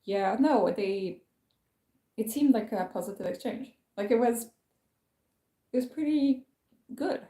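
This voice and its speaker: A woman's voice: fairly high pitch, animated, a little slow, pauses often, warm tone, echoey room. A young woman from France chatting on her own livestream, rambling with lots of fillers and restarts. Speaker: channel host. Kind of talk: casual livestream chat.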